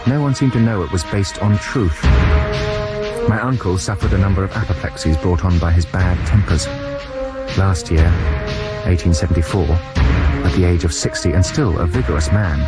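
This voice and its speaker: A deep, low male voice, an actor's, tells the tale of a middle-aged German professor looking back on his life. He narrates in a wry, melancholy radio drama manner, with loud music running underneath. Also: moderate pace, close to an everyday voice.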